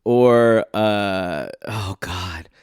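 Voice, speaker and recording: A man from South Carolina co-hosting a podcast in playful banter, hoarse from a chest cold. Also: lowish voice, drawn-out words, darkish tone, dry recording, clean studio sound. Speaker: podcast co-host. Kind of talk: casual chat.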